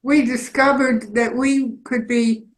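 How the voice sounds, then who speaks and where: A woman's voice: fairly high pitch, steady tone, slow, echoey room. An older woman telling casual anecdotes in a group conversation, good-humoured and wry. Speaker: participant in a community storytelling theatre group.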